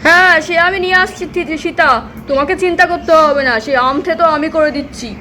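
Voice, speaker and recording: A woman's voice: extremely high pitch, fairly even intonation, fast talker, pushed and bright, a little hiss or hum. A woman voicing a boastful, helpful village man doll in a children's cartoon, showy and exclaiming. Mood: eager, helpful.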